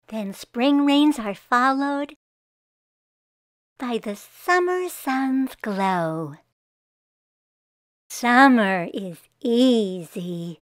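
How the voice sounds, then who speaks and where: An earnest female tree from a children's picture book , voiced by a woman reader. She speaks her rhymes very slowly and deliberately, with a high, expressive voice that swings up and down. She is easily exasperated, and the sound is close and dry. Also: dry recording, heavily acted. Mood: contented. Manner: slow, deliberate, expressive rhymed storybook reading.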